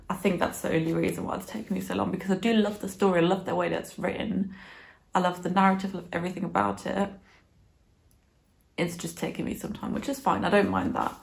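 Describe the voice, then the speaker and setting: Book vlogger: woman in her 20s, bilingual in German and English, lowish voice with plenty of fry, informal and reflective. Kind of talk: casual chat to camera, book review. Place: small living room, on a sofa indoors.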